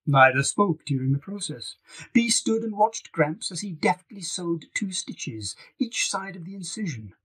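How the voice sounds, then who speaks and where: A man's voice: sits high, expressive, a little slow, a bit bright, breathy edge. A man reading scripted fiction as an author narrating an audiobook. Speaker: author reading own novel.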